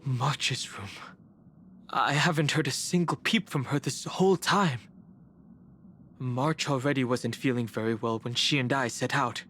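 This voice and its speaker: An actor playing a reserved, polite young adventurer in an English game dub, musing to himself in a halting, introspective way. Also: male voice, mid-range voice, pushed and bright, a little hiss or hum. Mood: hesitant concern.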